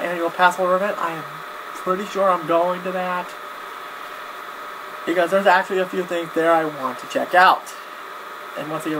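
A young man talking casually to camera in a halting, rambling vlog style, often backtracking and repeating himself. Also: high-pitched. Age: young adult. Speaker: video blogger. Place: small cluttered home room, indoors. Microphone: webcam at desk distance. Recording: clear background noise, webcam-grade audio.

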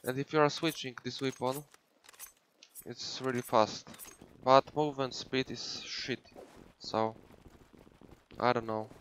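A man's voice: mid-range voice, steady tone, slow pace, long pauses, fairly bright tone, slight background noise, rough recording. A young man from Poland with a Polish accent, casually commenting on his gameplay live from a home room into a headset mic, with many fillers. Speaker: gaming streamer.

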